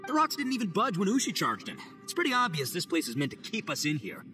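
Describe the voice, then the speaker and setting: A man voicing a boisterous young oni gang leader in a game, loud and swaggering. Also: high voice, huge pitch swings, fast talker, soft background music, exaggerated voice. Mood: joking, caring. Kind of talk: game cutscene voice acting.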